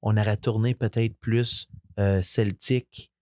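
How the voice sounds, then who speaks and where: A young man with a Franco-Ontarian French accent, a musician chatting as a guest, relaxed and rambling with lots of fillers. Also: on the low side, a little slow, no echo, clean studio sound. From Eastern Ontario, Canada. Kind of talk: casual interview chat.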